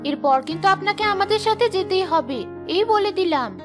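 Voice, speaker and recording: Very high-pitched sweet young wife. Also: female voice, lively intonation, a little fast, over music. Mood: caring. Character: sweet, dutiful young wife and daughter-in-law. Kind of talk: Bengali cartoon voice acting.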